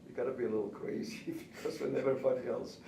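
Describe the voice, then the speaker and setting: Distant echoey recording with clear noise, older man, Austrian accent, native German speaker, conversational, reminiscing. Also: mid-range voice, steady tone, fast talker. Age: 70s. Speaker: pastry chef and cake instructor. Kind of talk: conversational interview answers, reminiscing. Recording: distant, roomy, noisy recording.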